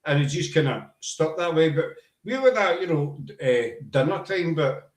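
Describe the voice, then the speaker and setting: A man's voice: a little high, animated, normal speed. A man with a Glaswegian Scottish accent, hosting a casual chat and telling rambling anecdotes. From Scotland. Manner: chatty, rambling anecdotes with many fillers. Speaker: podcast host. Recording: echoey room.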